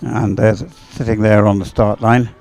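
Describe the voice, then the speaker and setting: Male live sports commentator, soft-edged relaxed tone, unscripted, pausing and meandering as he thinks aloud. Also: on the low side, vocal fry, faint music behind.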